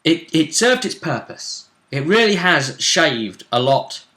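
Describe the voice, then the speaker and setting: Bright-voiced man in an echoey room. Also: fairly high pitch, sing-song, slow. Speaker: co-host of a gaming vidcast. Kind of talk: casual speculative commentary on a tabletop role-play tournament.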